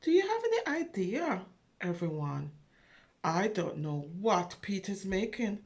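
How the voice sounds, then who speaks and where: Animated woman reading to preschoolers, unhurried pace. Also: medium pitch. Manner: expressive, wondering, talks directly to young listeners, asking questions. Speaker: children's storyteller. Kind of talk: animated picture-book read-aloud for preschoolers, with chatty asides.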